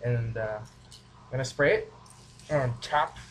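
A man's voice: medium pitch, takes it slowly, rough recording. Young male home cook, goofy and chatty, talking to a camera across a small kitchen with faint background noise. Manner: goofy, rambling, talks to camera. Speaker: home cooking vlogger. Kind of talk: casual cooking demo, joking asides. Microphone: camera mic from a few feet away.

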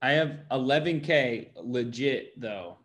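A man's voice: mid-range voice, animated, slow, fairly bright tone. A man in his thirties chatting informally on a video call, asking questions as he seeks advice. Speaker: business owner seeking advice. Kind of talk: casual chat on a one-on-one call. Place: bright indoor room at home, video call.

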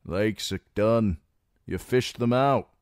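A man voicing a gruff, coarse scout who grumbles bluntly. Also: lowish voice, slow, darkish tone, no room sound, studio quality, pitched above the performer's own voice. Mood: grumbling. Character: gruff noseless male scout, blunt commoner.

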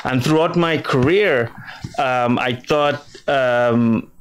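A middle-aged man from New York City answers questions as a studio guest. He talks in a mid-pitched voice, circling his points with lots of fillers and restarts. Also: slow, a little hiss or hum. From grew up in New York City. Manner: rambling, many fillers and restarts. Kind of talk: interview answers. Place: TV studio with virtual set.

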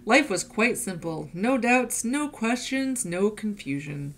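A woman reading a picture book aloud to children in an expressive, playful storytelling manner. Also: mid-range voice, takes it slowly. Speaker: adult reader leading a children's read-aloud.

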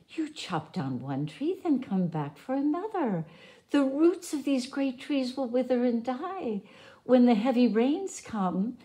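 A woman's voice: high voice, dark tone, pitched above the performer's own voice, heavily acted. A woman voicing a troop of monkeys in a storybook, urgent and warning.

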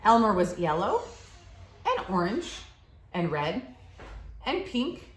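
Expressive woman reading aloud, lively pitch. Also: slow pace, slight background noise. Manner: expressive, simple clear teacherly phrasing. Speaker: school staff member reading aloud to a child. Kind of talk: picture-book read-aloud with questions to a child. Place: living room, seated on a leather couch. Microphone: camera a short distance away. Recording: roomy, echoey home recording.

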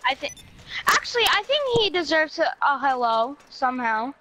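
A woman's voice: high voice, very animated, moderate pace, noisy background. A young woman chatting casually as she improvises in an online game roleplay.

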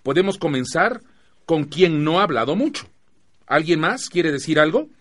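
Man acting earnest, firm group facilitator, higher-pitched. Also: expressive, moderate pace, fairly bright tone. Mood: encouraging, patient. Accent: Mexican. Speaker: actor in a radio drama. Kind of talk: Spanish-language radio drama acting.

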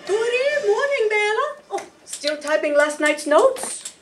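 High-voiced theatrical lady detective played by an actress. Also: big pitch swings, faint music behind, full character voice. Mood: brisk, assured. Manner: deliberate, dramatic, hesitant script reading. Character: shrewd, theatrical lady detective, coolly confident. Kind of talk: 1940s radio mystery acting, read from script. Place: live staged reading in a meeting hall. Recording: distant mic in noisy room.

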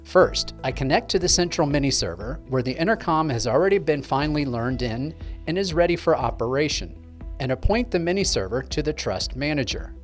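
A man's voice: a little high, animated, brisk, faint music behind. A man, giving a scripted step-by-step tech tutorial as presenter. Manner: clear step-by-step explaining. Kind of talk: scripted instructional narration.

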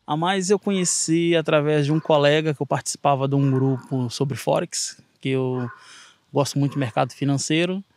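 A man from Brazil with a Brazilian Portuguese accent, recounting his story in a halting interview outdoors in a garden. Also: on the high side, a little mellow, a little hiss or hum. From Brazil, Minas Gerais. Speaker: interviewee, investor. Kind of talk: casual interview recollection.

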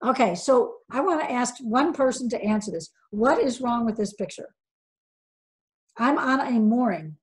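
An older woman with a somewhat high voice walks an online audience through sailing gear over a video call. She is emphatic, quizzes the listeners and repeats her key points for stress. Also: animated, moderate pace. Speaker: sailing instructor presenting a webinar. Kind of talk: webinar presentation with slides.

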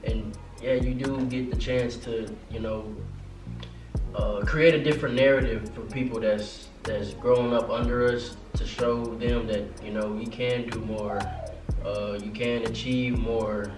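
A young man hosts a relaxed student panel, talking with easy fillers at a middle pitch. He is heard from a distance in an echoey room with music playing behind. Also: unhurried. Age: young adult. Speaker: host. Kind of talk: casual group Q&A. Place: lounge with students on sofas. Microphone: far camera mic.

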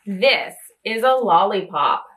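A woman's voice: medium pitch, animated, takes it slowly, a bit bright, pitched below the performer's own voice. A woman voicing a small, boastful, teasing mouse in a picture book who insists it is a tiger. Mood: confident.

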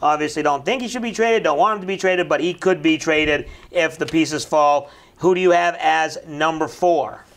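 A man's voice: on the high side, vocal fry. Upbeat man hosting a local sports show. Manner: upbeat, quick prompting questions. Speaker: sports talk show host. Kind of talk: casual sports chat. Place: small brick-walled TV studio set. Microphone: lapel mic.

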